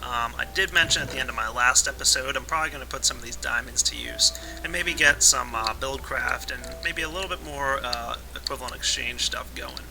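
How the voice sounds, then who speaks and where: A man with a raspy voice today, casually explaining a modded game as its let's play host, with relaxed fillers. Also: mid-range voice, brisk, hardly any pauses, music in the background. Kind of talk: casual gameplay tutorial narration.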